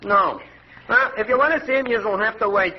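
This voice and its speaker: 1940s radio comedy soda clerk, male actor: very high voice, slangy, pseudo-formal, stubborn; muffled, heavily noisy recording. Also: normal speed, close to an everyday voice. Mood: offhand, unhelpful. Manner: mangles words and spells them out, pseudo-formal. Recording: noisy, dull old radio recording with clicks.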